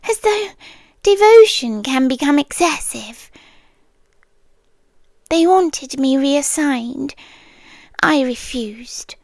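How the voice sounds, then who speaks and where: A woman's voice: high voice, dry recording, full character voice. A British woman playing a possessive, whispering guardian angel. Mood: cold, secretive. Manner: soft, intimate, often whispered. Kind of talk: ASMR roleplay.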